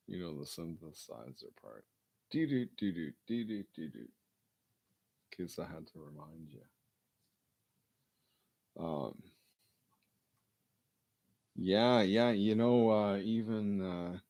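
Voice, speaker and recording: A man with a gravelly baritone, rambling through a late-night solo broadcast with mumbled, self-deprecating asides. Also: dark tone, dry recording.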